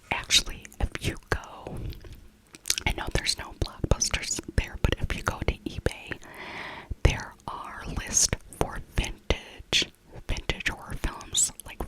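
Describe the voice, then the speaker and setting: A middle-aged woman whispering a casual, rambling ASMR shopping voiceover, softly repeating her phrases. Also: rough recording. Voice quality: soft unvoiced whisper. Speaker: ASMR creator.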